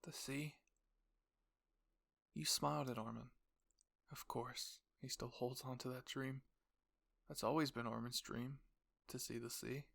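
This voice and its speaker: A man's voice: medium pitch, fairly even intonation, unhurried, gravelly, a bit bright. A man reading scripted second-person story narration.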